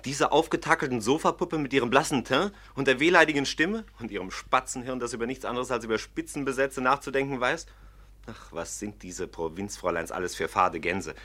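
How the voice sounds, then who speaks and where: An actor playing an ardent young gentleman in love in a radio play, earnest and impassioned. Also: medium pitch, brisk. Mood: scornful. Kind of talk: German radio play acting.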